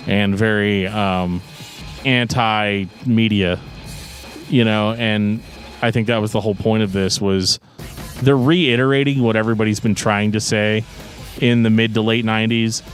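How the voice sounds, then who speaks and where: A man with a fairly low voice talks casually as a podcast co-host. His manner is sarcastic and joking, and music plays faintly behind him. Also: fairly even intonation, normal speed.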